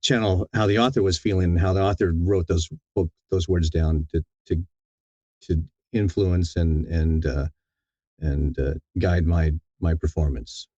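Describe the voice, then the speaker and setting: A man giving thoughtful interview answers in a halting, deliberate way, often repeating words as he thinks. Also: sits low, steady tone, a little mellow, no echo, lo-fi sound. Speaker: audiobook narrator, interview guest.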